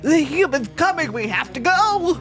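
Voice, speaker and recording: Frantic small critter on the run, played by a woman, shrill and jumpy. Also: sing-song, moderate pace, music in the background. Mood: panicked. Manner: urgent, shouting warnings.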